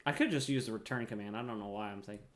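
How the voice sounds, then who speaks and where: A man's voice: lowish voice, racing. A man giving casual gameplay commentary, muttering asides to himself and exclaiming playfully. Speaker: gamer recording a playthrough.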